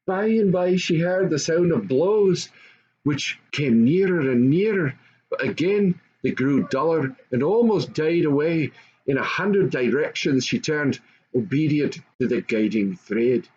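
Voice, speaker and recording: A man's voice: fairly high pitch, a little mellow. An older man reads a children's story aloud expressively, as an amateur storyteller. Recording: dull lo-fi home recording, faint noise.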